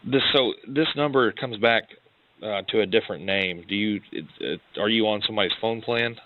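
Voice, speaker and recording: A low-pitched American man, a police detective, explains himself apologetically and haltingly while asking questions. He comes through on a dull, lo-fi phone recording. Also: no echo.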